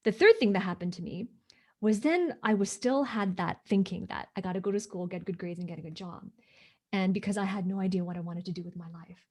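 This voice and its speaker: A woman's voice: mid-range voice, brisk, dark tone. A woman from Hong Kong, not a native English speaker, telling her story as an interview guest, emphatic and often asking "right?". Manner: emphatic, repeats "right?" for agreement.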